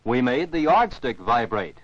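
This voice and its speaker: Lively American male educational film narrator, vintage sound. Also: medium pitch, a little slow, dry recording. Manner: didactic, carefully enunciated, posing questions. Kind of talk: scripted science narration for children. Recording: dull, dry 1949 film soundtrack.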